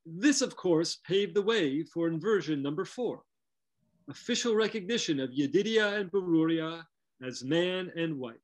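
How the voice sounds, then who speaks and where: High-pitched middle-aged man lecturing. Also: expressive, normal speed. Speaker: lecturer and presenter. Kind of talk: scripted scholarly narration. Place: indoor room with bookshelves, on video call. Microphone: video call.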